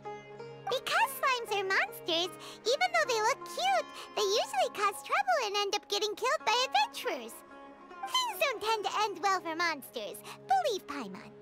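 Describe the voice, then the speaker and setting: A woman voicing a tiny childlike fairy companion in a video game, excitable, boastful and chatty. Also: very high-pitched, normal speed, over loud music, heavily acted. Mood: confident. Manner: refers to self in third person, exclamatory. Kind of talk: video game character acting.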